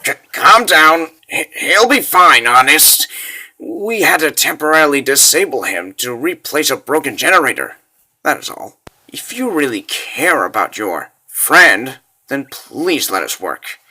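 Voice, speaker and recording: A man's voice: on the high side, sing-song, a little slow, pushed and bright, heavily acted. A man voicing a flustered, condescending builder in a comic dub, fussy and a little stammering. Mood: nervous, dismissive. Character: flustered, condescending builder, pleading then dismissive.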